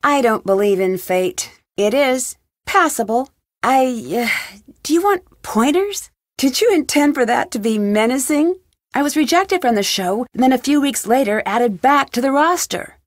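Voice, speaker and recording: Casting: a young female sleuth for an adventure game, inquisitive and composed, fairly high voice, dry close recording. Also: expressive, normal speed, dry recording. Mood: curious, questioning. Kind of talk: video game dialogue, questioning.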